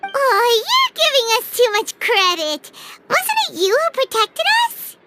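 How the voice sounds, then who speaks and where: A tiny, earnest fairy companion from a video game, speaks in an extremely high, childlike voice. The intonation bounces around in a chirpy way, with music clearly playing behind it. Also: female voice, wildly swooping pitch, unhurried, exaggerated voice. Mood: playful, modest.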